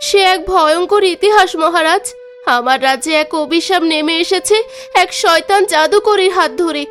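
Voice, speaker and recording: Young princess for fairy-tale cartoon, female performer: very high pitch, gentle, mournful. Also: moderate pace, soft background music. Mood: sorrowful recounting. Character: frail young shipwrecked princess, sorrowful and gentle.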